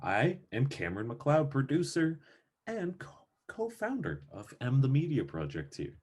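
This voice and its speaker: A man's voice: medium pitch, wildly swooping pitch, a little slow, darkish tone, husky. A man chatting casually as a producer and co-founder, recorded with some noise. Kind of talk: casual chat.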